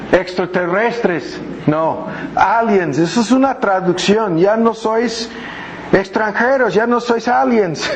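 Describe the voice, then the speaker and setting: A man's voice: high voice, noisy background. A male pastor preaching a sermon, reading verses aloud and quizzing his congregation as he explains them word by word. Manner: explains word by word with frequent asides. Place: church hall with congregation.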